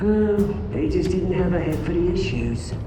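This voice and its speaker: A man's voice: high voice, lively intonation, normal speed, background noise. An actor playing a flamboyant, sadistic male villain who talks in a theatrical, mocking way. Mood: mocking, menacing. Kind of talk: dramatic game voice acting. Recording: echoey hall, music behind.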